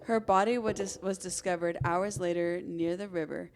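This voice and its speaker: A woman's voice: fairly low pitch, fairly even intonation, normal speed, noisy background. A woman co-hosting a podcast, telling true-crime stories in an informal, conversational way. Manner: informal, chatty, acts out imagined remarks. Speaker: podcast co-host.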